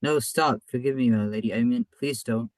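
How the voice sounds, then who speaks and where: A man plays a dutiful young apprentice with a secret crush in a comic radio play. He has a medium-pitched voice and an earnest, deferential delivery. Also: normal speed, close to an everyday voice, rough recording. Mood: anxious. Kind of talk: old-time radio comedy acting.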